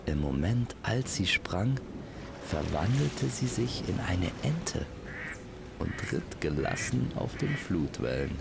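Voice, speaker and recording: A man's voice: deep voice, sing-song, slow pace, creaky, heavy background noise. A man narrating a scripted fairy tale as a storyteller.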